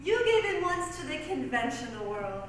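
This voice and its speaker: A woman performing readers' theatre as a grave fortune teller, with deliberate, theatrical delivery. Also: sits high, big pitch swings. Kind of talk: readers' theatre stage recitation. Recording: echoey stage, distant mic, clear noise.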